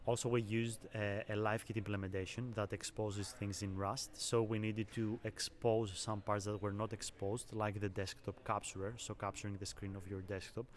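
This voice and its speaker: A young man from Greece, a software engineer, calmly explaining technical work in an interview. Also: fairly low pitch, moderate pace, hardly any pauses, slight background noise. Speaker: software engineer, conference speaker. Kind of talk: interview answers.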